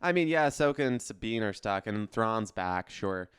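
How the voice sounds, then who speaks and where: A man's voice: on the low side, expressive, moderate pace, clean tone, dry recording, clean studio sound. A man co-hosting a casual pop culture podcast, giving opinions in an emphatic, slangy way peppered with filler words. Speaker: podcast co-host.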